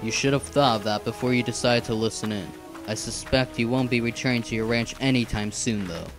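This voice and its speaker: A man's voice: medium pitch, fairly quick, close to an everyday voice. Man playing a level-headed fantasy assassin, music behind. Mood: stern. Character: level-headed, dutiful male assassin serving a dark fantasy lord. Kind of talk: amateur animated fantasy series acting.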